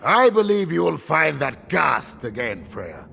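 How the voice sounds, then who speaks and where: Very gravelly earnest huntsman. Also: fairly high pitch, very animated, unhurried, bright, forceful tone, a little hiss or hum, full character voice. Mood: grateful resolve. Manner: dramatic, earnest. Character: weary, earnest male huntsman warrior, loyal and grave. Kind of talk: video game cutscene acting.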